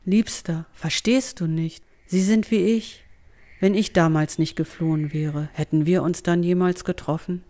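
A woman playing a gentle, mysterious old dryad in a fantasy audio drama, speaking calmly and deliberately. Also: lowish voice, natural voice. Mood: wistful.

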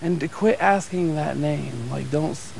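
A man in a tunnel at night, talking hesitantly in hushed tones, full of "like" as he searches for words. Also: a little high, unhurried, vocal fry, a little hiss or hum, rough recording. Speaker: paranormal investigator. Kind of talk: casual chat. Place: dark tunnel outdoors at night.

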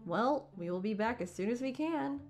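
A woman's voice: a little high, animated, moderate pace, a little mellow, faint music behind. A woman voicing an eager, loyal teenage best friend in a fan comic dub.

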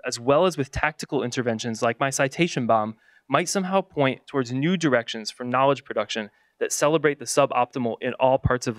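A man giving a scripted academic conference talk, reading aloud in formal, wordy, measured phrases. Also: mid-range voice, dry recording. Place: conference session.

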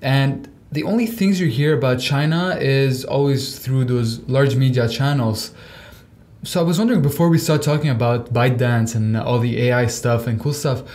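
A man's voice: medium pitch, normal speed, a little mellow. A young man from Lebanon hosting a video-call interview, asking long, rambling questions with restarts. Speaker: podcast host. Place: home room with windows.

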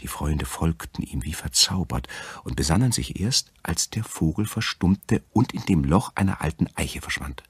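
Male fairy-tale narrator, extremely low and husky, recorded very dry. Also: animated, normal speed, no echo. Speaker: storyteller.

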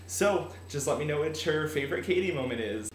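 A young man in his late teens vlogging casually from a room at home on a webcam, energetic and animated. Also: a little high, brisk. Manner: energetic, animated, laughs often. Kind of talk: casual fan vlog. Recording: roomy room echo, faint hiss.

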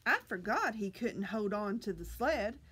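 A middle-aged woman reading a picture book aloud to young children, with deliberate, animated delivery and exaggerated enunciation. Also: mid-range voice, husky. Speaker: children's librarian reading at story time. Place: small library children's room, indoors.

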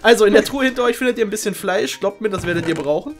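A man's voice: sits high, expressive, racing, faint music behind. A man hosting a game show with a playful, joking, theatrical and exclamatory delivery.

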